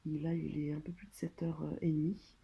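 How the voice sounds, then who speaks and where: A French woman speaking casually and hesitantly, as in a personal video diary, pausing and trailing off between phrases. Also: sits low, little pitch movement, vocal fry, breathy edge, lo-fi sound. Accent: French, from France. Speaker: vlogger documenting own surgery stay. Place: hospital room.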